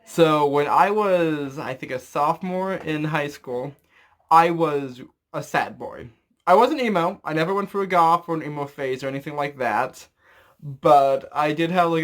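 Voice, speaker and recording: A young adult man talking casually to an audience in a rambling, self-deprecating way. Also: on the high side. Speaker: vlogger. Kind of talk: casual chat to camera. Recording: clear background noise.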